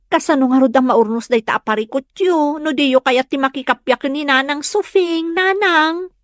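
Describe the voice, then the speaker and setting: Woman acting an earnest, high-voiced daughter. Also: normal speed, no echo, clean studio sound, natural voice. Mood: pleading, reproving. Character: earnest, reasonable grown daughter pleading for peace. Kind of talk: Ilocano radio-style drama acting.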